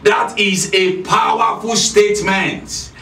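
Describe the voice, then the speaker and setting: A middle-aged male pastor preaching slowly and emphatically, repeating key lines and often raising his voice. His voice sits high and sounds bright and tense. It is recorded from across an echoey church hall with faint music behind. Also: fairly even intonation, vocal fry, a little hiss or hum. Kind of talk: sermon. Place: church hall with red curtain. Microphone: handheld mic at a lectern. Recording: echoey hall, filmed from a distance.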